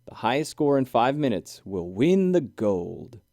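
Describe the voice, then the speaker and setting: A man playing a friendly animal official at storybook games, giving instructions in a clear manner. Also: medium pitch, sing-song, measured pace, dark tone, no room sound, studio quality. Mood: instructive.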